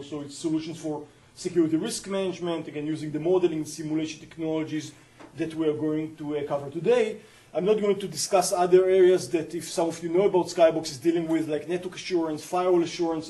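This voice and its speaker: Mellow-voiced man with an Israeli accent. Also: fairly high pitch. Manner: earnest, explanatory, with frequent hesitations. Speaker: company founder giving a guest lecture. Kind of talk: university colloquium talk. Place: university lecture room.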